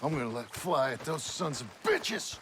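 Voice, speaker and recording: An actor playing a hot-headed, bitter, vengeful outlaw with a gravelly voice in a video game drama. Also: male voice, medium pitch, big pitch swings, normal speed, natural voice. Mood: furious. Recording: loud horse hooves and outdoor noise.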